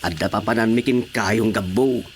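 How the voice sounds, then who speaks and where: An actor playing an easygoing, evasive husband in a comedy radio drama. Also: male voice, on the low side, normal speed, slight background noise, lightly acted. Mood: casual, defensive.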